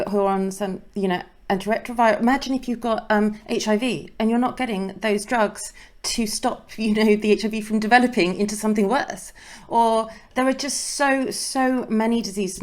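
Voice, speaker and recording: A woman hosting an interview show, asking questions and pressing her points in a concerned, emphatic way. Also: mid-range voice. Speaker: host. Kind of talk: video interview.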